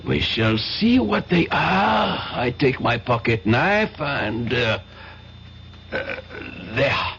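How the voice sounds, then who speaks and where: French police inspector, polite, methodical, deliberate, faint hiss. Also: male voice, mid-range voice, big pitch swings, fairly bright tone, heavily acted. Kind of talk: 1970s radio drama acting. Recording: dull lo-fi old radio broadcast with faint hiss.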